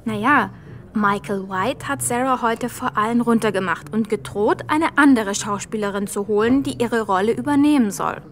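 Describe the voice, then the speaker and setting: A woman playing a sensible, thoughtful young girl in a children's audio drama, reasoning in a measured manner. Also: a little high, close to an everyday voice.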